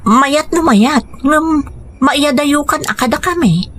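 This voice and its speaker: A woman's voice: high-pitched, slow pace, a little hiss or hum. A woman acting a reluctant young wife, sad at separation, in an Ilocano radio drama.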